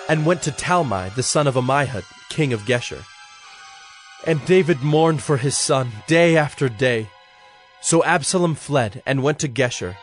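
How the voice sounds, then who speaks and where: Measured male scripture narrator over soft music. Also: medium pitch.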